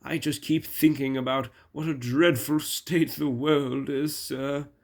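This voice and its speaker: A man's voice: a little high, fairly even intonation, a little slow, voice pushed up. A man voicing a proud, grumbling steam engine in a children's storybook, with a huffy, mournful and pompous manner. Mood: mournful, anxious.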